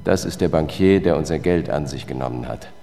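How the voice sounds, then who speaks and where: An actor playing a sober, determined justice investigator who narrates a radio drama in a measured, matter-of-fact way. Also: male voice, a bass voice, gravelly, dark tone, soft background music. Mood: grave, accusing. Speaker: first-person narrator.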